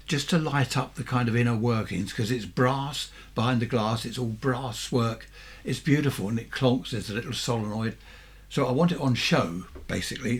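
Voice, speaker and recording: A man in his seventies with a southern English accent and a husky voice, giving a chatty, rambling, unscripted show-and-tell as a hobbyist, often questioning himself. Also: medium pitch. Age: 70s. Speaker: amateur radio hobbyist presenting vintage equipment.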